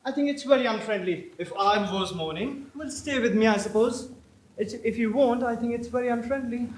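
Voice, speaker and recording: Male actor as very high-pitched young gentleman, flippant and lovestruck, theatrical stage comedy, echoey recording. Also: a little fast, a little hiss or hum. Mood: teasing, flippant. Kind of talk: amateur stage comedy acting.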